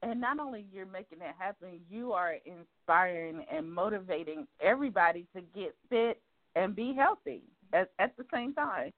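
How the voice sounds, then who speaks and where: A woman's voice: mid-range voice, lively intonation, normal speed. Enthusiastic woman host on a phone line. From South Carolina. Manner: enthusiastic, laughs often. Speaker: talk show host. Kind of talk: casual interview chat.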